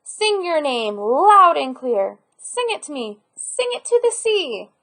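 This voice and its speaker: A loving, playful storybook grandmother read by a woman. Her voice is high and unhurried, and she talks in an encouraging way. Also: sing-song, fairly bright tone, full character voice. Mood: joyful. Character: loving, lively, playful grandmother of a young boy.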